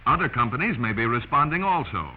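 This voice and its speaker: A man's voice: mid-range voice, very animated, fast talker, a little hiss or hum. Muffled old 1940s training film narrator, American man. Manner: emphatic, instructive, addressing the listener directly.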